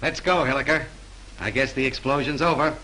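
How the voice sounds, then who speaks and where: A man's voice: mid-range voice, brisk, sharp tone, close to an everyday voice, rough recording. An actor playing a tough male police detective who questions a suspect bluntly, in 1930s film style, with faint hiss from an old soundtrack. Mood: gruff. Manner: blunt, bullying interrogator.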